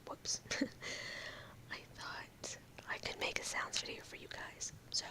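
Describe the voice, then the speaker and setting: A young woman in her room, whispering slowly and softly into a close mic in a casual ASMR ramble, her voice a little odd after a cold. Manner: slow, halting, talks with fillers and small laughs. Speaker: ASMR video creator.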